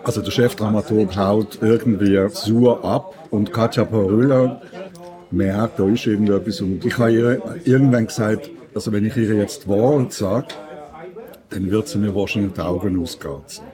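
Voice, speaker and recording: An older man with a low voice and a Swiss German accent. He tells personal stories in a casual conversational way, with clear chatter behind him. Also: steady tone, moderate pace. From Switzerland. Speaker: radio maker, interviewer.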